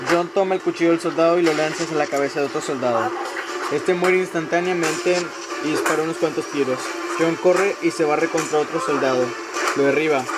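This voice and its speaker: A man's voice: a little high, brisk, loud music behind the voice, low-quality recording. A man reading scripted narration for a radio drama, telling a story in the third person.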